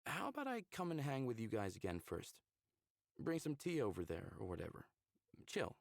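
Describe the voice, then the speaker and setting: A man's voice: medium pitch, huge pitch swings, normal speed, gravelly, no room sound, studio quality, pitched below the performer's own voice. A man voicing a curious, laid-back male space traveler in a visual novel, with casual, slangy phrasing. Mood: casual, tentative.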